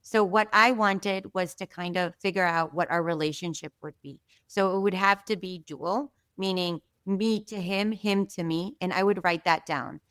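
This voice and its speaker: A woman guest telling a story in a casual, animated way, full of fillers and asides, from Israel. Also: lowish voice, normal speed, few pauses, clear, resonant tone, no room sound. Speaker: podcast guest.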